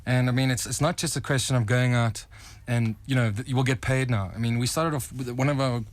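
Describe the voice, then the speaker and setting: Quick-talking young South African man. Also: mid-range voice, steady tone. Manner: earnest, fast, with stumbles and restarts. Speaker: rock band member, interviewee. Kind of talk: casual radio interview.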